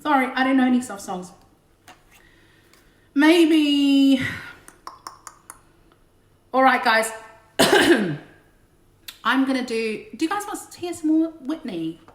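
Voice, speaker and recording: A woman's voice: high voice, animated, takes it slowly, long pauses. A woman, a singer and singing teacher, chatting casually and joking between songs on a home livestream. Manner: chatty, joking, self-deprecating, big belting voice. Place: home room during lockdown. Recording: roomy echo, faint backing music.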